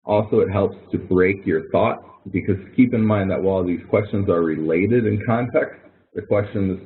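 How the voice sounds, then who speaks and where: A man's voice: deep voice, normal speed, slight background noise. A man instructing students in an informal online webinar, explaining in a rambling, hesitant way with repeated words. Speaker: instructor running a help webinar. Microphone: online webinar audio connection. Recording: narrow, tinny online-call audio.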